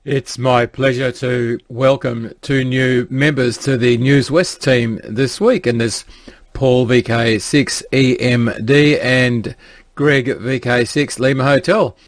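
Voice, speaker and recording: A man's voice: medium pitch, steady tone, slow pace, no room sound. Man reading a plain announcement. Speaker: news presenter. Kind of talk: read announcement.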